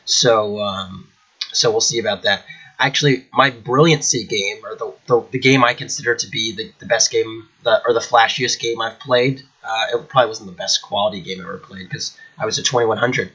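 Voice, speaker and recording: A man's voice: fairly low pitch, moderate pace, bright, forceful tone, breathy edge, muffled recording. A man with a cold, a little nasal and congested, chatting casually at home as host of his chess channel, rambling with many fillers. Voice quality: slightly nasal. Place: at home indoors.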